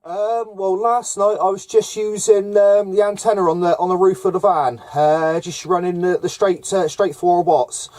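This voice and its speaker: A man with a hesitant, halting delivery, making casual CB radio contacts with call signs and jargon. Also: high voice, fairly even intonation, a little mellow, no echo. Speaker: amateur CB radio operator running contacts. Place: camper van up in the hills.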